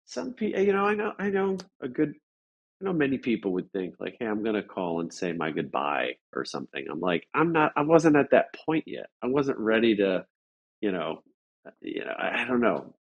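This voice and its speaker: An American man from Chicago telling stories casually as an interview guest, halting and rambling with lots of filler words. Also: fairly low pitch, big pitch swings. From Chicago, United States. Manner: halting, rambling, frequent "like" and "you know". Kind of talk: casual conversational storytelling. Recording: dull lo-fi audio.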